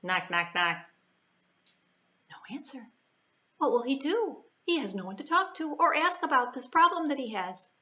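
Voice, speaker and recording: A woman's voice: sits high, very animated, moderate pace, long pauses, sharp tone, muffled recording. A woman telling a puppet show story to young children, in simple phrases with spoken sound effects.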